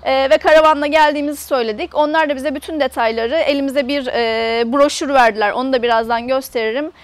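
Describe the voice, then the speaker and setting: High-pitched woman in her 30s doing a chatty travel vlog, talking to camera outside. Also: steady tone, fast talker, dry recording. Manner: chatty, explanatory. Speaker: travel vlogger. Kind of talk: casual guided tour to camera. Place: outdoors in an open camper park lot.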